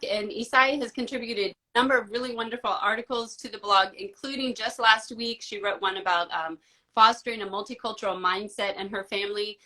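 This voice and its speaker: A woman's voice: on the high side, noisy background. A woman hosting a live online interview, welcoming her guest and guiding the talk over a video call. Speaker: host.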